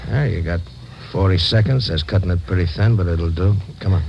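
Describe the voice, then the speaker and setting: An American actor playing a curt, controlling drug-deal go-between who gives instructions in a 1950s radio crime drama. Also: male voice, low-pitched, fairly quick, vocal fry. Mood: curt, watchful. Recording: dull, noisy vintage radio recording.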